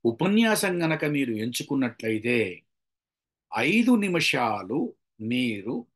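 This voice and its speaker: A mature man with a Telugu Indian accent and a mid-pitched voice leads a training talk. He speaks like an instructor, repeating key phrases for emphasis and posing questions to his listeners. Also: very animated, fairly bright tone. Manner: switches between Telugu and slow deliberate English. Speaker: long-time radio presenter. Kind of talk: motivational online lecture to a group.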